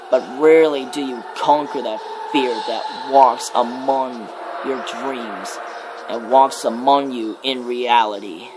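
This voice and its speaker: A menacing demonic embodiment of fear and death, performed by a man in an ASMR horror roleplay. The delivery is very unhurried and ominous, with drawn-out pauses between phrases. Clear background noise runs under the voice. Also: medium pitch, lightly acted.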